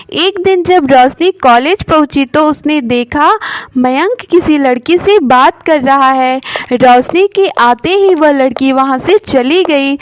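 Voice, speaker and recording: A woman reads a scripted story as narrator. Her voice is high and her pace slow, in a very dry recording. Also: no room sound.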